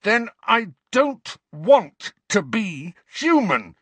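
A man voicing a grieving teenage boy wizard who shouts with his voice shaking in rage. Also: sits high, sing-song, extremely slow, breathy edge, dry recording, pitched above the performer's own voice, full character voice. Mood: furious.

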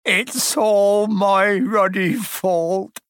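A man voicing a huge, bearded, soft-hearted half-giant with rustic, colloquial speech in an audiobook. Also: mid-range voice, lively intonation, slow pace, breathy edge, dry recording, clean studio sound, full character voice. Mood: sobbing remorse.

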